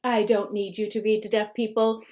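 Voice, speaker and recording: A woman voicing a furious, exasperated middle-aged mother who yells and scolds. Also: medium pitch, little pitch movement, normal speed, lightly acted. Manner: yelling, sharp, scolding.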